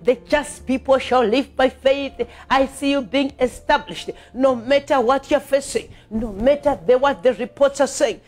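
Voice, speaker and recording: High-pitched woman preaching fervently. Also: moderate pace, few pauses. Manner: emphatic, repetitive, exhorting. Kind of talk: fervent charismatic sermon with scripture reading and prayer.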